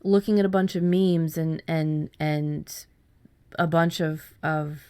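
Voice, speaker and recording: A woman's voice: deep voice, flat delivery, slow, dark tone, clean tone. A woman hosting a writing podcast, talking casually with frequent restarts and repeated words. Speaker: podcast host.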